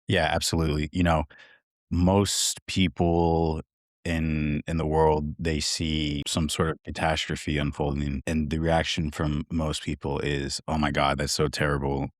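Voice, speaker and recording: A man's voice: extremely low pitch, little pitch movement, unhurried, no room sound, studio quality. A man co-hosting a podcast, talking emphatically and opening the interview. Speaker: podcast co-host. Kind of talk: conversational podcast talk.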